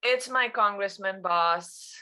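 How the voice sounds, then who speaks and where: A woman's voice: medium pitch, slow pace, pushed and bright. A woman acting an exasperated, pleading adult daughter in a comedy table read. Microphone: video call.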